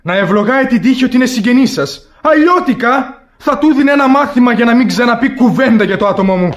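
Lively, high-voiced young gallant. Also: male voice. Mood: indignant. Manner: courtly, theatrical, indignant. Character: smooth young gentleman suitor, feigning offended honour. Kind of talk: classic radio theatre comedy acting.

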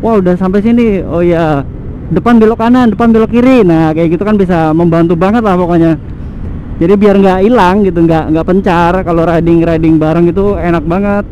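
A man's voice: sits high, fairly quick, dark tone. A man, chatting casually and repeating himself for emphasis as he vlogs from a motorbike. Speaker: motovlogger host. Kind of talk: casual chat while riding. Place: outdoors, riding a motorbike on city roads. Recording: muffled helmet mic, engine and traffic noise.

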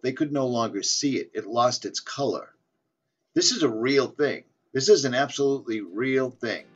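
A man's voice: medium pitch, breathy edge. A man rambling insistently in a casual monologue, repeating himself and stumbling as he reads aloud. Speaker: flat earth video maker. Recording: dull lo-fi audio.

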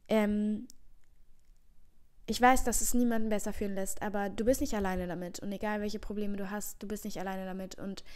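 A woman's voice: mid-range voice, a little mellow. Woman, 20s, slight cold, earnest, reassuring, rambling, handheld mic, living room. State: has a cold. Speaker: vlogger. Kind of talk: casual heartfelt advice monologue. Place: living room at home, seated in armchair. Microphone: handheld microphone.

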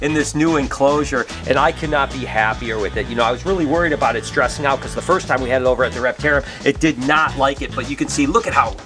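A man in his fifties who runs a reptile zoo, vlogging in an excitable, gushing way from inside an indoor reptile facility, filmed on a close handheld camera. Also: mid-range voice, fast, few pauses. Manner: excitable, gushing, exclaims often. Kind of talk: enthusiastic vlog narration. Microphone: handheld camera close to face. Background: music bed.

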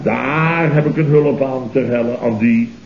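An actor playing a panicky, self-important boss in a Dutch radio comedy, stammering and repeating words emphatically, with a Netherlands accent. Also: male voice, mid-range voice, measured pace, lightly acted. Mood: indignant. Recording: dull, noisy, roomy old radio recording.

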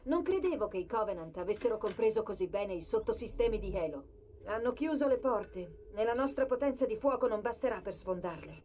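A woman playing a sharp, analytical military artificial intelligence in a dubbed sci-fi video game, brisk and commanding. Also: mid-range voice, expressive, slight background noise, lightly acted. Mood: analytical, assured.

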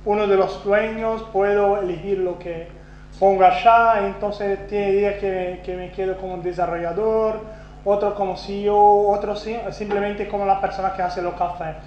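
A Brazilian man whose first language is Portuguese, giving a conference talk with a Brazilian accent, self-deprecating and halting, with many restarts. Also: high-pitched, fairly even intonation. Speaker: conference speaker. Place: conference hall. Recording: roomy, dull lo-fi sound with faint hum.

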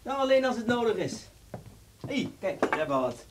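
A man's voice: high voice, sing-song, normal speed. A man playing a breezy, nosy, chatty intruder in a radio drama. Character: breezy, nosy, always hungry male intruder. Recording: noisy old radio recording, slightly distant.

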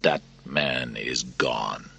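A man voicing a bitter, weary enslaved gladiator who speaks low, slow and grim, with a husky voice. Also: sharp tone, full character voice.

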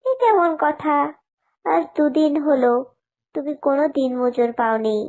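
A woman voicing a caring but fretful mother in an animal cartoon, fond and gently scolding. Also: high voice, a little slow. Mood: worried. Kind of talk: cartoon character acting.

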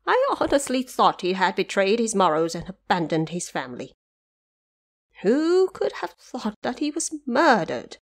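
A woman's voice: mid-range voice, very animated, normal speed. A tender old grandmother played by a woman narrator speaks with sorrow and feeling. She is a figure from an audio drama of an old tale. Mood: grieving. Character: old grieving grandmother, long blind, tender.

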